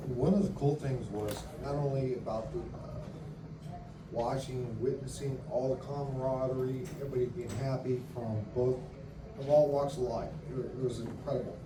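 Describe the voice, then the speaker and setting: A man telling a lively, jokey story at a veterans' meeting, acting out the dialogue, recorded far off in an echoey room. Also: mid-range voice, normal speed, noisy background. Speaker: submarine veteran member. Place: meeting hall.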